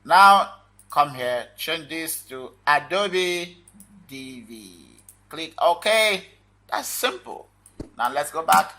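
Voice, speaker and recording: A man hosting a casual software tutorial, talking viewers through the steps informally in short phrases. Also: on the high side, lively intonation, slow, a little hiss or hum. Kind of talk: casual screen-recorded tutorial talk.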